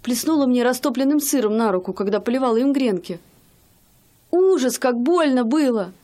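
An actress playing a loving, stubborn young wife in a radio play, chatty and pleading. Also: female voice, a little high, lively intonation, moderate pace, mellow, natural voice. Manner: chatty, pleading, sometimes hesitant. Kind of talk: radio drama acting.

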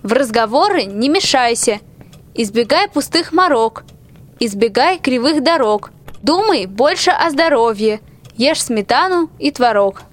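Woman as high-voiced caring fairy-tale wife. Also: lively intonation, unhurried. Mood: tender, advising. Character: caring, resourceful young wife with magic helpers. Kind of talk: fairy-tale radio play.

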